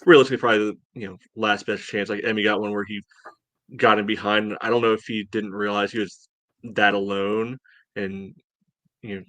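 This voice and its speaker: A man co-hosting a soccer podcast, breaking down a match in casual, halting talk. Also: fairly low pitch, level tone, a bit bright, no echo. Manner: halting, peppers talk with "you know". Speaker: soccer podcast co-host and analyst.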